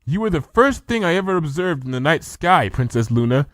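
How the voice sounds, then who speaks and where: A man's voice: a little high, very animated, brisk, fairly bright tone, dry recording, pitched above the performer's own voice. A man voicing an earnest, bookish young female unicorn who talks eagerly for a storybook reading.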